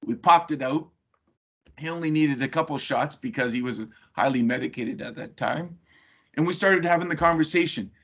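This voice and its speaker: Husky-voiced man telling a webinar story. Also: on the high side, normal speed, a bit bright. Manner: conversational storytelling with frequent fillers. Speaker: webinar presenter. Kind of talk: webinar talk. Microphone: video call.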